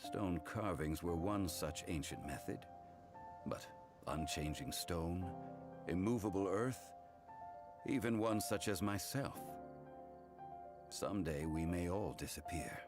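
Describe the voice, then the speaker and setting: Super deep old stone god from a game, slow and formal, music playing under it. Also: male voice. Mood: solemn. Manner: measured, solemn, formal. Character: ancient, calm, dignified male god of stone. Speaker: game character. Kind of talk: game dialogue. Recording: game audio with music behind.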